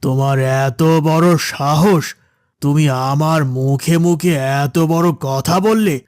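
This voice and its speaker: A man's voice: a little high, slow, darkish tone, dry recording, studio quality. A man voicing a pushy, scheming village headman in a cartoon, domineering and wheedling by turns. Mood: indignant. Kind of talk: Bengali cartoon voice acting.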